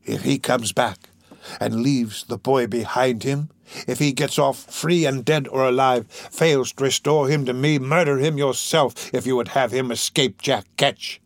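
A man voicing a crafty old criminal in an audiobook, with a croaking, drawn-out, oily delivery. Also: mid-range voice, animated, no echo, full character voice. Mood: furious. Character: crafty old male criminal, wheedling, then furious.

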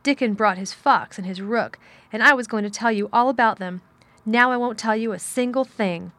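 A woman voicing a sour, stubborn young girl in an audiobook, with fierce, sharp retorts. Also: on the high side, normal speed, no echo, natural voice. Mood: sour, scornful. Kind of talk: audiobook character dialogue.

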